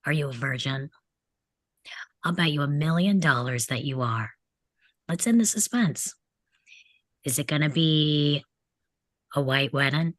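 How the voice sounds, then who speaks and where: A woman's voice: low-pitched, expressive, measured pace, long pauses, no room sound. A woman reading the part of a mocking, crude teenage delinquent boy at a table read of a film script. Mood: taunting. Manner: mocking, needling, insolent.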